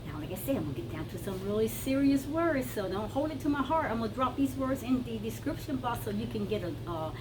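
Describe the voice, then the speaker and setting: A woman's voice: a little high, lively intonation, fast, few pauses. A woman with a Brazilian accent, talking to viewers in a casual, emphatic teaching style, repeating words for stress. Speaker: wellness vlogger. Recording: noticeable background noise.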